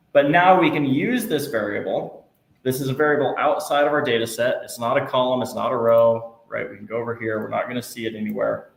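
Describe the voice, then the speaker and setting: Patient man teaching a software class. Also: medium pitch. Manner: patient, reassuring, step-by-step. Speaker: instructor. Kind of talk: live software class walkthrough. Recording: echoey room, faint noise.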